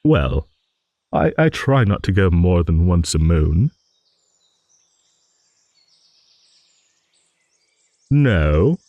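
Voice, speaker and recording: A man's voice: deep voice, no room sound, exaggerated voice. A man voicing a rambling old dragon, genial, wistful and a little vain, in an improvised fantasy audio monologue. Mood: gently teasing. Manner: rambling, musing, with hums and soft chuckles.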